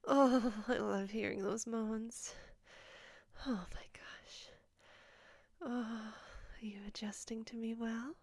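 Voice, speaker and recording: A woman playing a doting, dominant lover in an intimate audio roleplay, with a breathy voice and a coaxing manner. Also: a little high, steady tone, no echo. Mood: soft, encouraging. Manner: slow, coaxing, often whispered.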